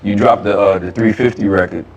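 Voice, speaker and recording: A low-voiced man interviews a guest, asking direct questions and murmuring his agreement between them. He sits back from the mic, so his voice sounds distant, with a faint room hum behind it. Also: steady tone, brisk, muffled recording. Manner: frequent murmured backchannels.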